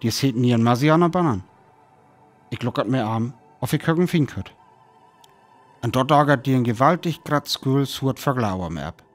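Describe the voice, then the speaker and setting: A man's voice: mid-range voice, expressive, moderate pace, soft background music, close to an everyday voice. A man playing a weary survivor soberly recounting his lonely wandering in a radio play, with a North Frisian accent. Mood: sober, tense. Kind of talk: radio play narration and dialogue.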